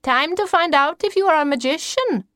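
A woman's voice: sits high, lively intonation, normal speed, a bit bright, dry recording, studio quality, voice pushed up. A woman voicing an eccentric, commanding grandmother who makes grand, mystical pronouncements in an audiobook. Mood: portentous. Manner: emphatic, grand, singsong pronouncements.

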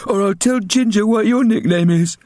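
A man's voice: high-pitched, normal speed, a little mellow, no room sound, studio quality, pitched above the performer's own voice, natural voice. A man voicing a bossy wild boy who barks his words, in a storybook reading. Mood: barking. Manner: barking, bossy.

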